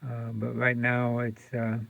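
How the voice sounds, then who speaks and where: A man giving a casual, unscripted radio repair demonstration in hesitant, halting phrases, recorded indoors. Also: mid-range voice, monotone, creaky, fairly bright tone, dry recording. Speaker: radio restorer demonstrating a set. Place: indoor room with fluorescent lights. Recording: dull lo-fi home video sound.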